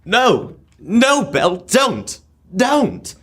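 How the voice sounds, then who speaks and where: A man's voice: sits high, wildly swooping pitch, extremely slow, a bit bright, slightly rough, full character voice. A young man playing an anguished, pleading miser in an old-time radio drama. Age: teens.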